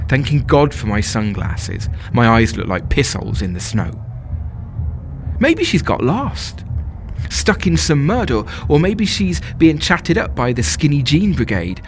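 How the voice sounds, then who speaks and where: A man's voice: medium pitch, sing-song, a little slow, a little hiss or hum. An actor playing a smitten, self-doubting young festival-goer, telling his story as a confiding, wry dramatic monologue. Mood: anxious, self-deprecating.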